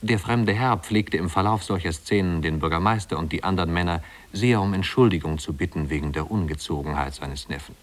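A man's voice: sits low, brisk, hardly any pauses. A man narrating a literary tale in a 1950s German radio play, composed and articulate.

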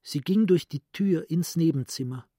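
A man's voice: a little high, normal speed, dark tone, breathy edge, dry recording, clean studio sound. A male actor playing a cultured, introspective psychiatrist in a literary radio play. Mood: haunted recollection. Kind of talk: literary radio drama monologue.

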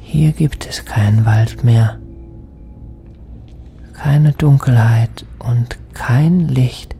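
An actor voicing a sorrowful, bewildered lost soul in a poetic radio drama, speaking in hushed, halting fragments and often whispering. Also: medium pitch, vocal fry, soft background music. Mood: wistful, desolate.

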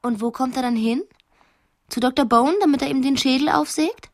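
An actress playing a sly, restless, determined wife in a radio drama. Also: female voice, sits high, brisk, no echo, clean studio sound. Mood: pointed, ironic.

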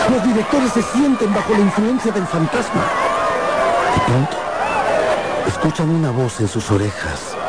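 A man's voice: a little high, heavy background noise. A man narrating a radio drama in a theatrical, suspenseful style. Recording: loud music behind.

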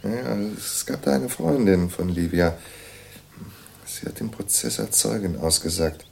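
A man's voice: low voice, unhurried, vocal fry, slight background noise. An actor playing an obliging official who mutters over his files in an audio drama. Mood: helpful, searching.

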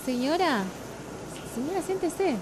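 A woman's voice: high-pitched, animated, a little slow. A woman with an Argentine accent playing a polite but firm young passenger in an amateur radio play. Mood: firm, puzzled. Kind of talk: amateur radio drama acting. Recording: noisy background ambience.

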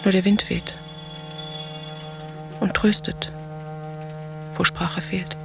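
A woman's voice: medium pitch, flat delivery, drawn-out words, creaky, over music. An actress playing a middle-aged, weary travelling stage woman, speaking an introspective inner monologue in sparse fragments for a radio play. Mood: pensive, desolate. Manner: sparse, fragmentary, reflective phrases.

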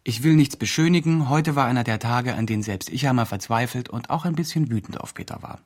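An actor plays a well-meaning but self-important male helper in a radio play. The voice is husky and of middling pitch. He narrates like someone filing a report, careful and reflective. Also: fast, natural voice. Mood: admiring, reflective.

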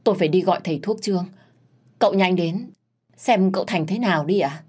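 A woman's voice: on the low side, brisk, dark tone, husky. A woman doing a cool, scheming old servant woman. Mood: cool politeness. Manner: cool, unruffled, polite. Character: calm, scheming older female servant.

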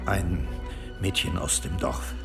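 An actor playing a cornered, defensive high churchman in a historical radio play, halting, evasive and pleading, with a creaky voice. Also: steady tone, big-room sound, loud music behind the voice, heavily acted.

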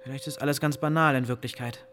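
A man's voice: mid-range voice, fairly even intonation, quick pace, fairly bright tone, faint music behind, close to an everyday voice. An actor in a radio play playing a disillusioned, searching man who broods in clipped, rhetorical phrases. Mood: disillusioned brooding. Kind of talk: German radio play acting.

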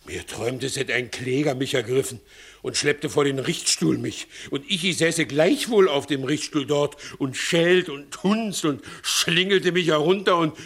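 An actor playing a blustering, scheming village judge in a theatrical 1960s German radio comedy, given to exclamations. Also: male voice, a little high, measured pace, fairly bright tone, slightly rough, full character voice. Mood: uneasy. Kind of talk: 1960s German radio play acting, classical stage comedy.

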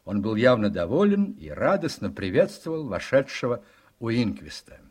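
An actor narrating a political radio drama in an expressive storytelling manner with measured phrasing. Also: male voice, mid-range voice, breathy edge. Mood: descriptive.

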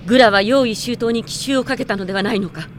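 A woman's voice: a little high, fast, slight background noise, close to an everyday voice. An actress playing a proud, defiant female dragon knight who speaks in a formal, commanding way in a Japanese radio drama. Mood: wary, defiant. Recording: drama production with music behind.

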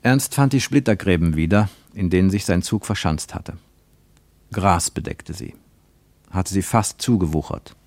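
A man reading scripted literary narration for radio in a measured, solemn manner. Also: deep voice, a little mellow, dry recording.

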